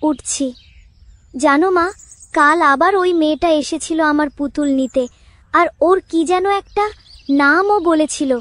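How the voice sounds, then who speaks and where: A woman's voice: high-pitched, a little slow, long pauses, fairly bright tone, no room sound. A woman voicing an innocent, timid little schoolgirl in a cartoon story, childlike and earnest. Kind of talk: Bengali cartoon story acting.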